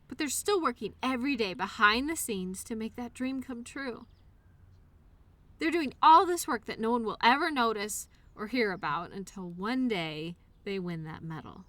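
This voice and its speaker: Woman acting a high-voiced, encouraging imaginary friend. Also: expressive, moderate pace. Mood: earnest encouragement. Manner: earnest, reassuring, gently encouraging. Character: upbeat, loyal childhood imaginary friend, tenderly encouraging. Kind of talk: one-sided audio roleplay, intimate scripted conversation.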